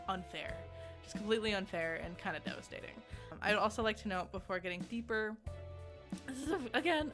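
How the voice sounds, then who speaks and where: A woman giving a casual, conversational video game review in an emphatic, informal way, often giggly as she talks. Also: mid-range voice.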